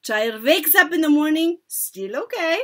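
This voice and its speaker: A woman acts out a wicked old witch ringleader from a children's storybook. She is high-voiced and slow, lording it over her listeners with triumphant commands in broken grammar. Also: lively intonation. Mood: commanding, explaining.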